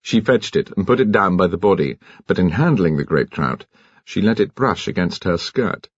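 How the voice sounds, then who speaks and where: A man's voice: low-pitched, expressive, moderate pace, slightly rough, dry recording, dull audio. A British man reading a detective novel aloud as scripted, dramatic audiobook narration.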